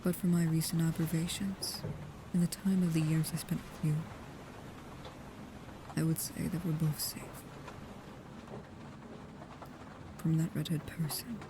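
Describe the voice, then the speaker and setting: A woman playing a guarded, protective vampire with a painful past, speaking slowly, softly and hesitantly, often in whispers, in an intimate ASMR roleplay. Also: sits low, little pitch movement, background noise, lightly acted. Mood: guarded, serious.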